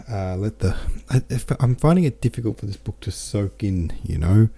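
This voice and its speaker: A man's voice: fairly low pitch, lively intonation, normal speed, dark tone, breathy edge. A man hosting a book podcast, thinking aloud about a chapter, reading out listener comments and then reading the novel aloud. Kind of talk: casual book-club talk and reading aloud.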